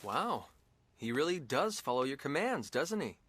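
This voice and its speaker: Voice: medium pitch, big pitch swings, moderate pace, a bit bright, slight background noise. An actor voicing a good-natured, hesitant young man, the easily flustered hero of a video game. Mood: bemused. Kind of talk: English video game dub acting.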